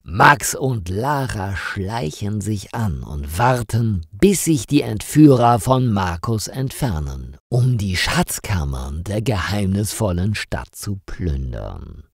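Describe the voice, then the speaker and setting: A man narrating a scripted story for language learners, reading slowly and expressively with dramatic rises. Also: fairly low pitch, sing-song, gravelly, no room sound, clean studio sound.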